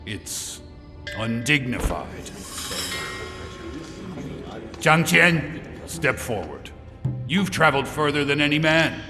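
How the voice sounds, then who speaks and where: Brooding, imperious emperor male actor for audio drama; measured delivery in a reverberant hall. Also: a little high, sing-song, heavily acted. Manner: grave, imperious, deliberate. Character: proud, commanding ancient Chinese emperor, brooding and ambitious. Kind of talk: amateur audio drama acting. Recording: long hall reverb, loud music behind.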